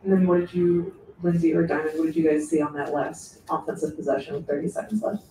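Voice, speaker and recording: Male reporter, slightly high, flat voice. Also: fast, slight background noise. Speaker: sports reporter. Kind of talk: press conference questions. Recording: echoey room, distant mic.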